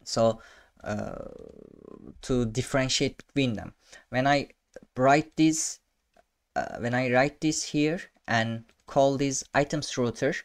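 A young man whose first language is Turkish, talking through a coding tutorial at his desk with lots of fillers and restarts. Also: medium pitch. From Turkey. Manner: halting, many fillers and restarts, self-amused. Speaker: programming tutor. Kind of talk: casual screen-share coding tutorial. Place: small room indoors.

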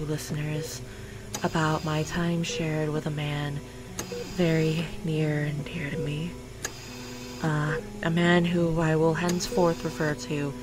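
A woman playing a wistful, self-blaming female being in an audio drama monologue, speaking softly and hesitantly with a breathy, whispery voice. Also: low voice, rough recording. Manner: hesitant, slow, reflective. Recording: loud music under the voice.

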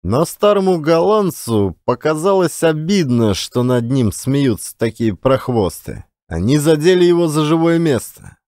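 A man narrating a literary story as an expressive audiobook performer. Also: on the high side, measured pace, dry recording, studio quality.

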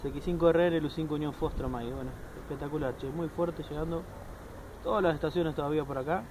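An Argentine man with a fairly high voice makes casual ham radio contacts, spelling out call signs. He is recorded outdoors from a few steps away, with clear noise behind him. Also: steady tone, fast. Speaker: amateur radio operator. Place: outdoors at night on sandy open ground. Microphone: camera a few steps away. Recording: noisy outdoor recording with vehicle-like rumble.

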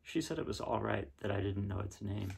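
A man in his thirties reading a picture book aloud in a casual, unhurried way with pauses between phrases. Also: fairly low pitch, lively intonation, creaky, breathy edge. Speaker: reader of children's picture books. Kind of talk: gentle read-aloud storytelling and casual chat. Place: small living room indoors, seen on camera.

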